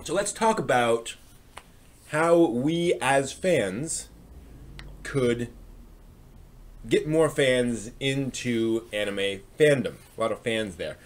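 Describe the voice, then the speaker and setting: A man with a medium-pitched, lively voice hosts a live stream. He talks casually and haltingly, addressing viewers and reading their comments aloud. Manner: conversational, halting, reads out chat comments.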